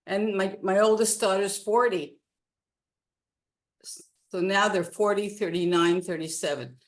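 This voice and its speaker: A woman's voice: mid-range voice, fairly even intonation, unhurried. A woman in her sixties from Canada, a guest telling her life story in a rambling, casual chat with many restarts.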